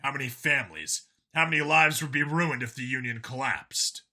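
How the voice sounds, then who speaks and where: A man's voice: mid-range voice, normal speed, sharp tone. A man voicing an earnest, argumentative, world-weary male cop in an audiobook, arguing in long, insistent speeches. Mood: quiet, pleading.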